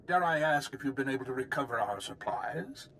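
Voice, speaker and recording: An actor playing a self-serving, blustering military commander in a video game, with a theatrical manner. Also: male voice, medium pitch, lively intonation, a little fast, a bit bright, slight background noise, natural voice. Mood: haughty, irritated.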